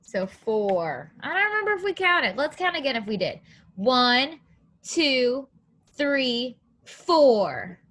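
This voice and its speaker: Bright, animated woman teaching small children. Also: high-pitched, takes it slowly. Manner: animated, sing-song, encouraging, simple phrasing for young children. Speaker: preschool teacher reading aloud. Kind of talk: children's read-aloud lesson with questions and counting.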